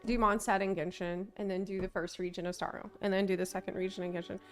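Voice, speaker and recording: A woman game streamer giving chatty, expressive, casual live commentary. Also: medium pitch, fast talker, soft background music.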